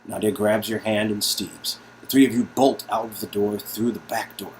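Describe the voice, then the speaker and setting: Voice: medium pitch, fairly even intonation, normal speed, darkish tone, a little hiss or hum, rough recording. A narrator reading an interactive story game aloud in a dramatic style, breaking into casual, joking asides.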